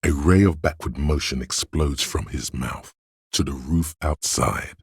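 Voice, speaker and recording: A man voicing a detective's analytical inner voice for a video game, in precise, measured, dryly observant narration with a gravelly, husky tone. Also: very deep voice, no room sound, clean studio sound, heavily acted. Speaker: game voice actor.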